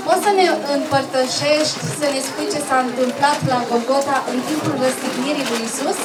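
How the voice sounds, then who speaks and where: A woman's voice: sits high, close to an everyday voice. A woman playing a polite, formal field reporter interviewing in a puppet show. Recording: echoey distant recording with loud crowd noise.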